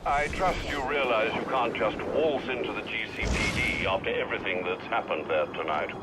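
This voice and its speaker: An actor playing a loyal older British butler in a video game, formal, earnest and protective, admonishing with worry. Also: male voice, lowish voice, moderate pace, lightly acted. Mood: apologetic, cautioning. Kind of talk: video game cutscene acting. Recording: loud background noise.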